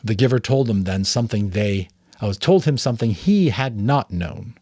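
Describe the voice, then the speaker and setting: A man narrating a novel aloud as a dramatic, expressive reading. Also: lowish voice, normal speed, dry recording, clean studio sound. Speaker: audiobook narrator and voice actor. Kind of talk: dramatic story reading with asides.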